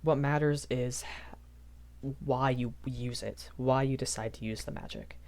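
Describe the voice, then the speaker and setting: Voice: medium pitch, steady tone, measured pace, a little mellow, lightly acted. A performer voicing a shy, earnest young magic academy professor in a rambling audio roleplay, hesitant and often self-correcting. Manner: hesitant, self-correcting, apologetic, nervous chuckles. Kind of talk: improvised character audio roleplay, rambling lecture.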